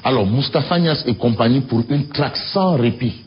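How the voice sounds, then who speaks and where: A man's voice: mid-range voice, animated, moderate pace, breathy edge, muffled recording. A man co-hosting a morning TV show, reviewing newspapers in a joking way and repeating his phrases. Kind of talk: casual press review banter.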